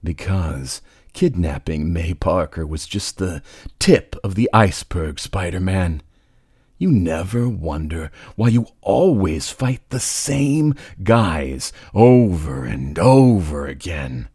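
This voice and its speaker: A man's voice: sits low, animated, slow pace, darkish tone, breathy edge, no room sound, clean studio sound, heavily acted. A man voicing a gloating, menacing, talkative supervillain in a comic book dub, with a taunting, condescending drawl. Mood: sly, expository. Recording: faint music behind.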